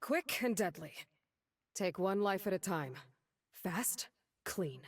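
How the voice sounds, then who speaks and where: A woman's voice: mid-range voice, expressive, slow pace. An actress voicing a confident, rebellious young female assassin in a video game, with clipped, serious, defiant lines. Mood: cool, deadly. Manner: clipped, cocky, serious delivery.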